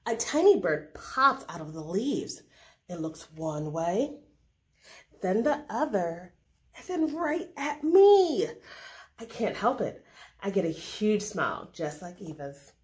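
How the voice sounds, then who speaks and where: A woman's voice: mid-range voice, sing-song, takes it slowly. A woman reading a story aloud for children and hosting in an instructional, storytelling style. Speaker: story reader and craft host.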